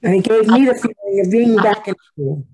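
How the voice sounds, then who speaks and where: Female participant, soft dark timbre with some rasp, casual discussion over video call. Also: medium pitch, lively intonation, fairly quick. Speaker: audience participant.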